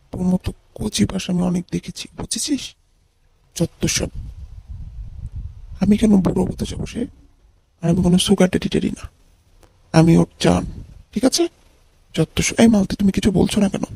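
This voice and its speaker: A man voicing a vain, huffy old sugar daddy with a husky, creaky voice and blustering manner in an amateur comedy cartoon. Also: sits high, normal speed, long pauses, mellow, dry recording, exaggerated voice, poor audio. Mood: indignant. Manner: indignant, blustering.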